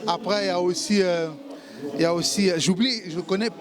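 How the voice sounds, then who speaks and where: A man's voice: high-pitched, fairly quick. A man speaking casually in an interview, hesitantly searching for words. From Republic of the Congo. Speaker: event guest being interviewed. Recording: loud crowd noise.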